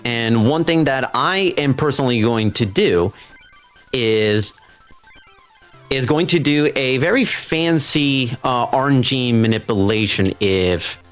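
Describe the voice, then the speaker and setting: Slow-talking man, casual speedrunner. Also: medium pitch, soft background music. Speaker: speedrun competitor. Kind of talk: casual live talk while playing.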